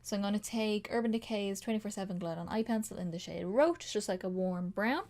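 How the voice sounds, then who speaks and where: Woman, beauty vlogger, casual and chatty, a touch nasal, mid-pitched. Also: fairly quick, darkish tone. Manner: chatty, rambling, with frequent ums and likes. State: slightly nasal from a sinus infection. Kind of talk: casual makeup tutorial chat.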